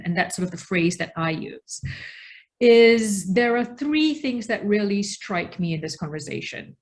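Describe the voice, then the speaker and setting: Woman from australia in her 40s, researcher on a webinar, mid voice, thoughtful talk, leans on key words. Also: mid-range voice. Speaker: researcher, invited panel speaker.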